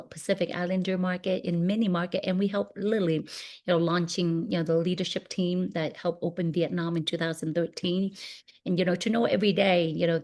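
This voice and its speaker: A woman's voice: fairly low pitch, a little mellow. A woman in her forties giving an earnest motivational business talk over a video call, full of "you know" fillers. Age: 40s. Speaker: network marketing leader.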